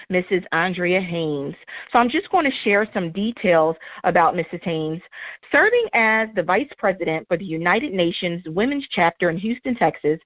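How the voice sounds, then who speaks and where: A woman's voice: medium pitch, no echo, lo-fi sound. A woman hosting a talk show, reading her guest's introduction and asking questions, with lots of encouraging interjections.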